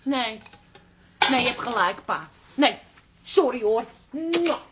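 A woman's voice: fairly high pitch, lively intonation, takes it slowly, very noisy. An actress playing a stubborn, fretful working-class woman, bluntly defensive, in a naturalistic radio drama. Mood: falsely cheerful.

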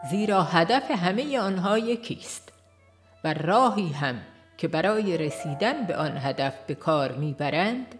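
A woman reading scripted audiobook narration in a measured, formal manner. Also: on the low side, lively intonation, faint music behind. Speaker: audiobook reader.

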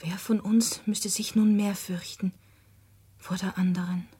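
Haunted earnest young woman, gothic radio play, soft breathy voice, really dry sound. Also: mid-range voice, level tone, a little slow, no echo. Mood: fearful, wondering. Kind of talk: radio drama narration and dialogue.

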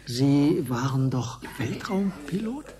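An actor playing an inquisitive, impatient investigator in a science fiction radio play, halting and repeating his own words. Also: mid-range voice, lively intonation, crowd noise. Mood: probing, hesitant.

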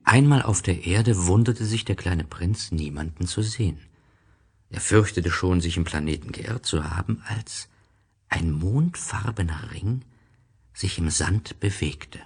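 Male storybook narrator, low register, husky, deliberate, expressive, scripted.

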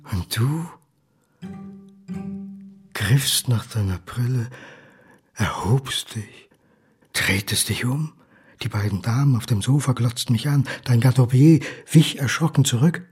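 A bitter, lonely drinker addressing his father in a radio drama monologue. He speaks slowly at a middle pitch, sardonic and dramatic, over music. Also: darkish tone, husky, full character voice.

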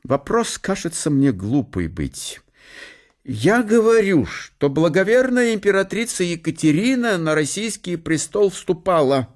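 A man playing a stern, pedantic middle-aged father in a radio drama, with a German accent and halting, broken grammar. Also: high-pitched, big pitch swings. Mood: pompous, lecturing.

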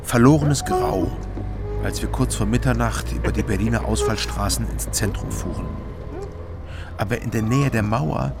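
A man's voice: fairly low pitch, normal speed, creaky, over loud music. An actor playing a weary, bewildered East German man in a radio crime drama, speaking soberly and tersely. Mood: sober, reflective. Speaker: protagonist and narrator.

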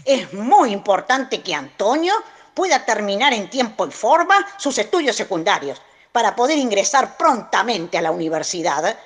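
A woman's voice: medium pitch, very animated, moderate pace. A woman playing a pompous, manipulative grandfather reading his formal letters in an audio drama. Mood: pompous, insinuating.

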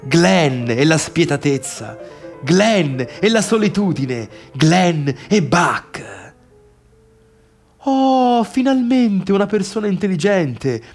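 An actor playing a bitter, needy, boastful failed pianist in a halting theatrical radio monologue that swings between murmurs and outbursts. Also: male voice, sits high, very animated, soft background music, full character voice. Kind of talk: radio theatre monologue, addressing imagined listeners.

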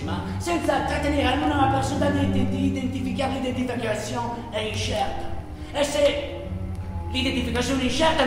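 A man playing an emphatic, sardonic narrator who declaims a political theatre monologue. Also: very high voice. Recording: very echoey hall, music behind.

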